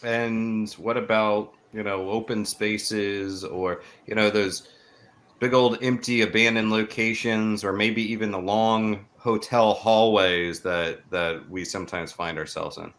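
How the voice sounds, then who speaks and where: A man with a fairly low voice hosts a podcast interview. He talks conversationally and somewhat haltingly, piecing his questions together as he speaks. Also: steady tone, a bit bright.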